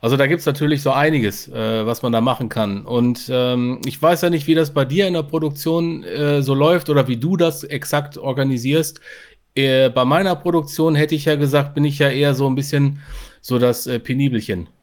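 A man's voice: mid-range voice, fairly quick. A man co-hosting a podcast, explaining things in a casual, conversational style. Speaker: podcast co-host.

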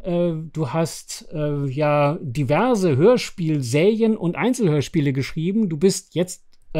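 A man's voice: on the high side, dark tone. A man co-hosting a podcast interview casually, hesitantly piecing his phrases together.

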